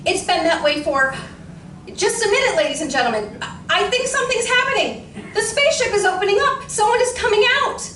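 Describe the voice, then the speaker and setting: A woman's voice: extremely high pitch, lively intonation, fairly quick, far from the mic. A woman playing a tense on-the-scene news reporter in a radio drama, delivering an urgent, dramatic bulletin. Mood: excited alarm. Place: community room of a library, live performance. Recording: echoey, noisy room recording from afar.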